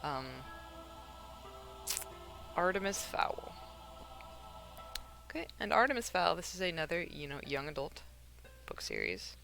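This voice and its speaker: A young woman streaming from home while she knits, chatting casually and rambling a little, her voice gravelly and slightly hoarse. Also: on the low side, animated, unhurried, pauses often, a bit bright, soft background music. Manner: rambling, chatty, with fillers and laughs. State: a bit hoarse from not talking for hours. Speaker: craft streamer. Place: at home, alone.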